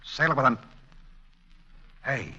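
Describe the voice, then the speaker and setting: Hard-edged, curt, irritable con artist. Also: male voice, mid-range voice, expressive, slow pace, bright, forceful tone, husky, heavily acted. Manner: curt, snappy, hard-boiled. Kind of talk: 1940s radio comedy acting. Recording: dull, lo-fi vintage radio recording.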